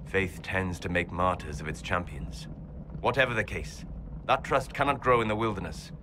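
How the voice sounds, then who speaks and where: An actor playing a calm, cryptic elven mage advisor in a video game, speaking in a measured, solemn way. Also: male voice, low voice, noisy background, lightly acted. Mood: grave counsel. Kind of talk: video game cutscene acting.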